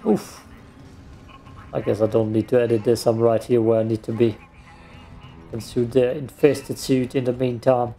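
A man's voice: medium pitch, little pitch movement, moderate pace, pauses often, dark tone, soft background music. A man, a German speaker, joking and self-deprecating as he comments on his gameplay. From Austria. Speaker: let's play host. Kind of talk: casual gaming commentary.

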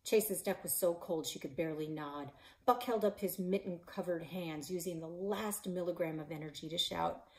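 A woman in her forties, a librarian and author, reading her children's novel aloud in a living room, voicing the characters with shouts and whispers. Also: on the low side, moderate pace, few pauses. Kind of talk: children's book read-aloud. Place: living room in front of a stone fireplace.

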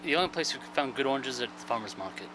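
A man's voice: medium pitch, lively intonation, rapid-fire. A man shopper answering a street interview, a little away from the microphone. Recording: distant from mic, loud street noise.

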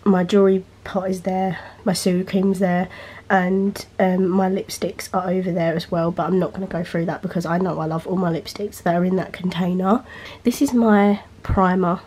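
A woman's voice: lowish voice, fairly even intonation, moderate pace, a little mellow, breathy edge. Nasal young woman vlogging from her bedroom. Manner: rambling, filler-heavy, chatty. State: nasal, recovering from illness. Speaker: beauty vlogger. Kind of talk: casual chat. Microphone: handheld camera, freehand.

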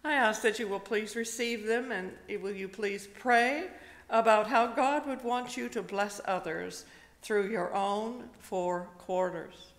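An older woman, a retired pastor, preaches slowly and gently, telling a personal story with pauses, at a pulpit microphone in a church. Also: medium pitch, fairly even intonation. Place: church sanctuary, indoors. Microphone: stand microphone at a pulpit. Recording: long reverb of a church hall.

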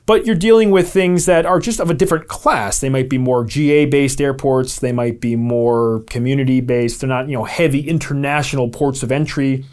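A man's voice: medium pitch, moderate pace, few pauses, a little mellow. American male presenter, 30s, mid-range voice, informal explanatory vlog delivery. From Albany, New York, USA. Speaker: video presenter. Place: small home office, indoors.

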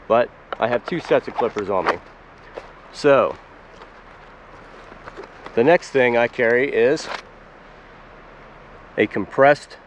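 A man's voice: fairly low pitch, a little hiss or hum, poor audio. A man in his forties, hosting a foraging channel, chatting casually to the camera about his gear while kneeling in the woods. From Pennsylvania, US. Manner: relaxed, chatty, explains plainly with fillers. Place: woods outdoors in spring.

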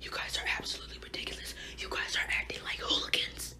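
A woman teacher scolding her class in a stern, urgent whisper. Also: fast talker.

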